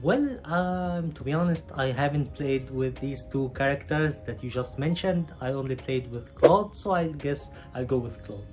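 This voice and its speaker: A man with an Egyptian accent talks through viewer questions in a frank, casual way, his voice sitting at an ordinary middle pitch. He often lets the ends of his sentences drop quieter, and a little music plays faintly behind him. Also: normal speed, few pauses. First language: Arabic. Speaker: gaming YouTuber answering viewer questions. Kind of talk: casual Q&A chat.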